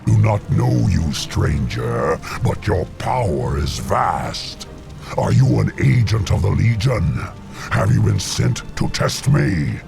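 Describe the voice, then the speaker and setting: A huge, wrathful demon overlord, growls with extremely gravelly, slow contempt. It is pompous fantasy game villainy under loud, dramatic music. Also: male voice, on the low side, very animated, heavily acted. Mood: wary, imposing.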